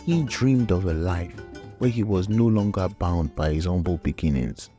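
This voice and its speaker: A man's voice: low-pitched, normal speed, warm tone, faint music behind. A man narrating a scripted folktale as the storyteller.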